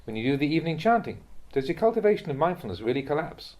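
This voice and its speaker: A man reading a translated Buddhist talk aloud as a monk would teach, in a measured, instructive manner. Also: a little high, very animated, darkish tone.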